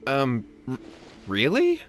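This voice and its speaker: A man's voice: medium pitch, huge pitch swings, takes it slowly, music in the background. A man voicing an earnest, nervous young man in a video game who stammers as he pleads for help. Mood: flustered. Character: anxious young man pleading for his brother.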